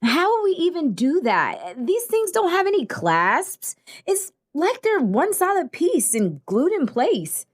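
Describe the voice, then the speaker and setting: A woman's voice: very high-pitched, huge pitch swings, takes it slowly, heavily acted. A woman playing a practical, skeptical teenage girl who questions things in an audio drama.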